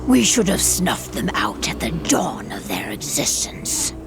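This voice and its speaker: A woman playing a proud, menacing werewolf pack leader in a video game, commanding, with a gravelly, breathy growl. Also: very deep voice, wildly swooping pitch, over music, heavily acted. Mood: stern, menacing. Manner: slow, commanding. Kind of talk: video game cutscene acting.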